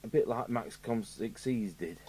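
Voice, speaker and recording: A man's voice: on the low side, unhurried, warm tone. A man with an English accent giving a casual, rambling workbench commentary as he thinks aloud, like a radio repair technician. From United Kingdom. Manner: rambling, musing aloud to himself. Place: indoor electronics repair workbench. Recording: clear background noise with electrical hum.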